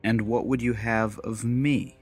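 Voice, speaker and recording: A man's voice: mid-range voice, fairly even intonation, unhurried, breathy edge. Sardonic steampunk adventurer played by a male actor, challenging and theatrical, mid-range voice, faint music underneath. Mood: wary, challenging. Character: wary, wry male secret agent adventurer abroad. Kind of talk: steampunk audio drama acting.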